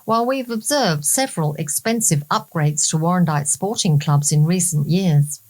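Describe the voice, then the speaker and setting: A woman reads prepared text for a community bulletin. Her voice is on the low side for a woman, and the wording is formal and scripted. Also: moderate pace, darkish tone. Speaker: reader of community bulletin stories. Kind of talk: scripted reading of a formal question.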